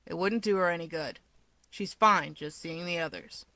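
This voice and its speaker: A woman voicing a guarded, irritable young man with a deadpan, sarcastic delivery. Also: lowish voice, moderate pace, dry recording. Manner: deadpan, snarky.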